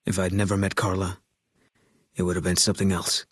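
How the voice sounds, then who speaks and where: A haunted former soldier turned sniper in a video game, a man with a heavy past. The voice sits low and carries a husky grain, with a dry, close sound. Every line comes out terse, clipped and grim. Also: level tone, brisk, dry recording. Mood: grim, brooding. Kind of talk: video game character dialogue.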